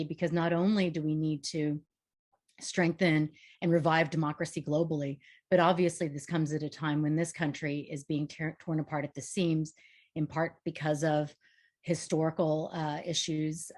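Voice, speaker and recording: A middle-aged woman from Vietnam speaking earnestly on a panel, with frequent fillers and restarts. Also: low voice. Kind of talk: online panel talk.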